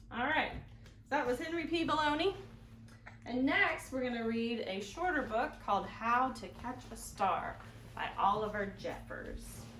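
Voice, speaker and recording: A woman hosting a children's storytime, reading aloud expressively and pausing to explain things and ask the children questions. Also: medium pitch, fairly bright tone. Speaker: children's librarian, storytime host. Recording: roomy echo, some background noise.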